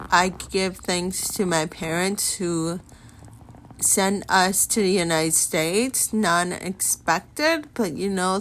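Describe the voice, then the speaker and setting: A woman in her twenties, from Mexico, hosting a podcast and telling her life story in an earnest way with pauses and restarts. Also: mid-range voice, fairly bright tone. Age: 20s. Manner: earnest, unhurried, talks with many pauses and restarts. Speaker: podcast host.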